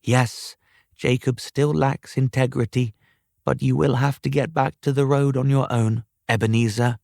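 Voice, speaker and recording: A man voicing a calm, guiding female spirit in a storybook reading, serene, formal and cryptic. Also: medium pitch, measured pace, creaky, dry recording, clean studio sound. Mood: admonishing. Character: calm, guiding ghost of Scrooge's sister.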